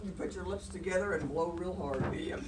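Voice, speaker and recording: A woman's voice: fairly low pitch, a little fast. A woman with a folksy, chatty, encouraging manner, telling folktales to children and prompting them along. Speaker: storyteller and host. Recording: noisy room, distant mic.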